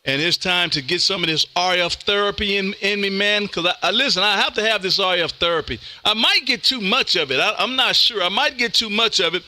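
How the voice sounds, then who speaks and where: Bright, high-pitched American man hosting. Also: a little fast, hardly any pauses. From Texas, United States. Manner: folksy, says "man" often, radio call-sign jargon. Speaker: amateur radio livestream host. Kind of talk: casual ham radio chat.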